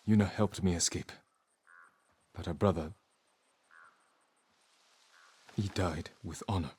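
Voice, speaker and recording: A man plays a resolute young samurai lord in a video game drama. His voice is fairly low, solemn and restrained. He talks tersely, with faint noise behind him. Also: steady tone, normal speed, darkish tone, natural voice. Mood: subdued.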